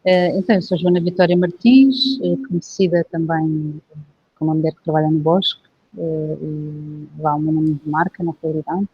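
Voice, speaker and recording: A woman from Portugal with a European Portuguese accent, a guest herbalist telling her story in a relaxed, hesitant way with many fillers. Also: low-pitched, moderate pace, breathy edge. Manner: reflective, hesitant, with frequent filler phrases. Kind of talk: casual interview conversation. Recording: dull, dry lo-fi recording.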